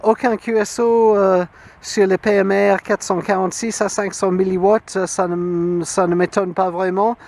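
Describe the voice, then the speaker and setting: A man with a fairly high voice talks casually and slowly to his handheld camera. He pauses between short phrases, hesitating as he goes. Clear outdoor noise with some traffic sits behind him. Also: flat delivery, a little mellow. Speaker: amateur radio hobbyist. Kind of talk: casual narrated vlog. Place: outdoors on a grassy hill. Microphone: handheld camera microphone.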